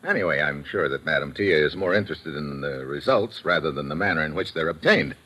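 An actor playing a cocky, wisecracking American pilot with casual, slangy, tough talk in an old radio drama. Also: male voice, very deep voice, a little fast, pushed and bright, breathy edge, exaggerated voice. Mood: smooth, wry. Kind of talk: old-time radio drama acting and first-person narration. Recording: vintage radio drama recording.

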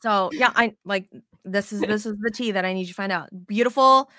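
A woman hosting a casual sports podcast, excitable and swearing freely as she tells animated stories. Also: medium pitch, fairly quick, dry recording. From Las Vegas, United States. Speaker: podcast host.

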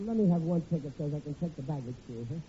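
An actor playing a hapless, blustery bridegroom in an old radio comedy, speaking in a flustered, colloquial way. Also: male voice, fairly high pitch, lively intonation, very fast, mellow, lightly acted. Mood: nervous, pushy. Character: hapless, panicky working-class bridegroom in trouble. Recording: muffled, hissy vintage radio recording.

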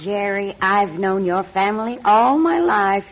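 A woman's voice: medium pitch, expressive, a little slow. An actress playing a fretful, motherly lodge proprietress in an old radio mystery, earnest and dramatic. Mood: distressed, insistent. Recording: muffled, noisy old radio recording.

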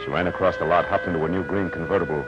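Brief: man playing a tough American private eye for vintage radio noir, deep and rapid, wry and pushy. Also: fairly even intonation, music in the background, close to an everyday voice. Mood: grim, narrating. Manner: clipped, tough slang. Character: hard-boiled male private detective, wry and pushy. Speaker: lead detective and narrator. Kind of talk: 1940s radio noir acting and narration. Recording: muffled, noisy old radio recording.

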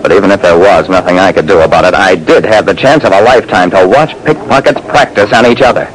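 A man's voice: low voice, quick pace, rough recording. A man narrating as a private detective in old-time radio style, hard-boiled and wry.

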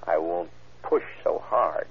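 Tough, sly male hold-up man, slangy and curt, very low husky voice, vintage radio crime drama. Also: slow pace, heavily acted. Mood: unrepentant, offhand. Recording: tinny, muffled old radio recording.

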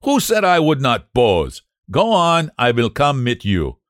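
A man voicing a fierce little old painter with a German accent, gruff and loud. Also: medium pitch, wildly swooping pitch, a little slow, no echo, clean studio sound, voice pushed up.

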